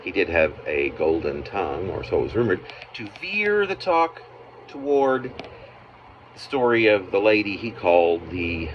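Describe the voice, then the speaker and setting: A slightly dull home recording with faint background noise carries a man's very low voice. He reads a literary narrative of his own writing, breaking the sentences into halting pieces with pauses in unexpected places. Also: big pitch swings. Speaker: poet, author reading own work. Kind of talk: literary reading of a narrative poem. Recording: muffled, noisy amateur home recording.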